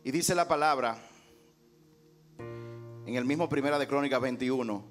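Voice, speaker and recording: A man's voice: medium pitch, normal speed, soft background music. A male pastor preaching an emphatic sermon in a rhetorical way, acting out dialogue from scripture.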